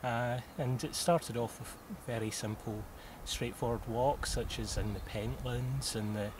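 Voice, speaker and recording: A Scottish man in his thirties from southwest Scotland, answering interview questions about mountaineering in an unhurried way, with many "uh" pauses. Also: mid-range voice, steady tone. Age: 30s. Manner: unhurried, with frequent "uh" pauses and occasional laughs. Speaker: mountaineer guest. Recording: clearly audible background noise.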